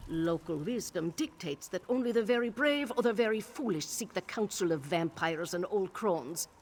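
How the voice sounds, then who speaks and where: A woman voicing a cryptic old witch in a video game, wry and measured in an archaic way of speaking. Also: medium pitch, animated, darkish tone, a little hiss or hum, natural voice. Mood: wry, testing. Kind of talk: scripted video game dialogue. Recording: long reverberant tail on the voice.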